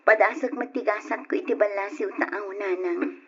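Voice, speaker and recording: An actress playing a young, jobless college graduate and respectful daughter in an old radio drama, speaking softly. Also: female voice, mid-range voice, steady tone, normal speed, close to an everyday voice. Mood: earnest. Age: young adult. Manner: soft, respectful. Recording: dull old radio recording with faint hiss.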